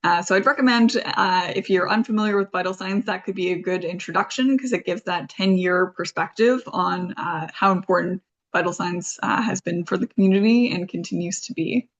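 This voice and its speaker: A woman's voice: fairly low pitch, fairly quick, few pauses. A woman hosting an informal presentation as a community foundation leader, speaking in loosely structured, promotional phrases. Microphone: video call. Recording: slightly dull, lo-fi online call audio.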